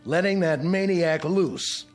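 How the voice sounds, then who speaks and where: An actor playing a stern, easily exasperated general in a radio drama, speaking formally. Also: male voice, a little high, takes it slowly, soft background music.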